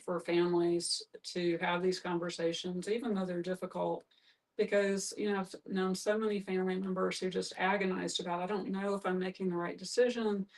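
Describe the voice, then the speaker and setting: A woman's voice: lowish voice, darkish tone. A woman explaining things in a group discussion, as a facilitator would. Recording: distant microphone.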